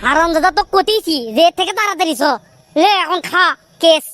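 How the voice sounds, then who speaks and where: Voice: extremely high pitch, brisk. An actor voicing a nervous, nagging young boy in a comic cartoon, with a northern Bangladeshi accent. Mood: alarmed. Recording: noisy cartoon soundtrack with clicks.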